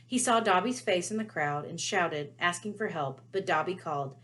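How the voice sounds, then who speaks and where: A woman with a lowish voice reads a children's story aloud. Her narration is expressive, in the style of a storyteller reading a chapter to listeners. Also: normal speed.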